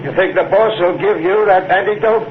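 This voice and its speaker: A man's voice: high-pitched, fairly quick, lightly acted. An actor playing a callous, mocking henchman with slangy tough-guy talk in a 1950s radio drama. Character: callous wisecracking henchman of a space crime boss. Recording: tinny, echoey, noisy old radio broadcast.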